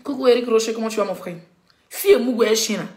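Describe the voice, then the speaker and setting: A woman with a cold delivers an indignant, insulting rant to her live-stream viewers, coughing now and then. Her voice sits a little high. The sound bounces around an echoey room. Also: fast talker, darkish tone. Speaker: blogger doing a live stream.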